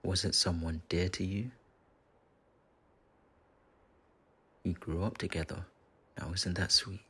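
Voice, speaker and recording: A man playing a menacing, mocking dark spirit in a possessed body, gravelly and husky, in a theatrical fantasy audio roleplay. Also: low-pitched, fairly even intonation, full character voice. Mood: sardonic taunting. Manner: theatrical, taunting, deliberate with dramatic pauses.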